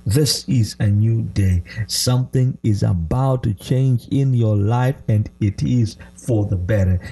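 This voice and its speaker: Male preacher, mellow but raspy, delivering emphatic, repetitive prophetic declarations. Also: medium pitch. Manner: emphatic, repeats phrases for effect; exhorting, declaratory, calls for responses. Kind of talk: prophetic charismatic sermon.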